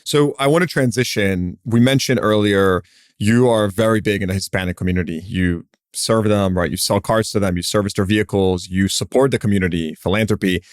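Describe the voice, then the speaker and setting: A low-voiced man hosts a podcast interview, asking loose, curious questions packed with casual fillers. His voice is dry and close to the mic. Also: brisk, no room sound. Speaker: podcast host.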